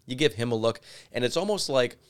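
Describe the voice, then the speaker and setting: A man hosting a casual solo podcast, chatty and excitable, his voice a little raspy from yelling. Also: mid-range voice, brisk. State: slightly raspy from yelling at a game. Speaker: podcast host. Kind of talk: solo sports podcast talk.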